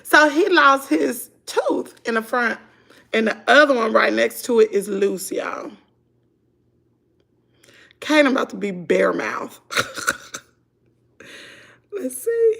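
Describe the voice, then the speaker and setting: A middle-aged woman with a Southern US accent, chatting hoarsely to her viewers at home on a phone livestream. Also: on the high side, big pitch swings, slow, long pauses, a bit bright. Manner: chatty, laughing, drawling, talks to "y'all". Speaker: vlogger on a morning livestream. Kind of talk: casual chat with viewers. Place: at home indoors.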